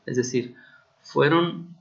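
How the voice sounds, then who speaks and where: A man's voice: mid-range voice, slow pace, gravelly, highs cut off. A man from Mexico with a Mexican Spanish accent, a teacher patiently explaining a class assignment and often checking that students follow. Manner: patient, repeats key points with frequent check-ins.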